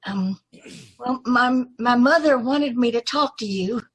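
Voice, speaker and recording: Radio play ingénue played by a woman: young, earnest, hesitant and courteous, raised pitch, rough-edged tone. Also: a little slow, low-quality recording. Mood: nervous, hesitant. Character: earnest, anxious, polite young woman seeking her lost brother. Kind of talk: amateur old-time radio play acting.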